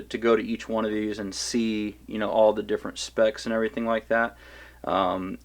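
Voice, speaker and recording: A man with a lowish voice talks through a gear review in an easygoing, unhurried way. He hesitates often, filling gaps with "um" and "uh" and restarting his sentences as he explains what he likes. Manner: relaxed, rambling with many fillers and restarts. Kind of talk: casual product review.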